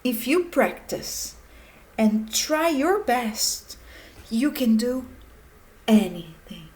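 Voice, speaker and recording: A woman's voice: fairly high pitch, sing-song, drawn-out words, darkish tone, heavily acted. A woman voicing a cheerful, confident young male pig athlete in a children's storybook, upbeat and emphatic. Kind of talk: children's storybook read-aloud.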